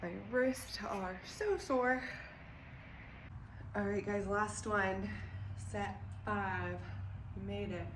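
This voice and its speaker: A woman's voice: medium pitch, very slow, pauses often. A young woman with a slightly nasal, congested voice, giving casual lifting tips in a home garage between sets and a bit winded. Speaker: vlogger and former weightlifter. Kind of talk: casual training vlog with coaching tips. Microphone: camera set up away from her. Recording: echoey garage from a distance with noise.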